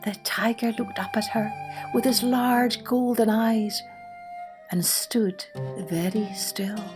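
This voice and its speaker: Slow-paced woman telling a children's story. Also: a little high, music in the background. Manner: expressive, hushed at suspenseful moments. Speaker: storyteller, podcast host. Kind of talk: scripted children's story narration.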